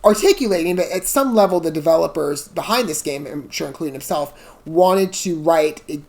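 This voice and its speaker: Female reaction host, lower-pitched voice, highly animated intonation, casual and rambling, chatty with apologetic tangents. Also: brisk. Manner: chatty, digressive, apologetic asides. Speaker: host of a video game trailer reaction channel.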